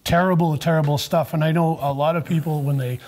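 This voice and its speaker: A man's voice: fairly high pitch, steady tone, brisk, darkish tone. A middle-aged man with a chatty, repetitive way of talking, hosting a casual on-camera conversation in a workshop. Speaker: vlog host, car enthusiast. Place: indoor auto rebuilding workshop.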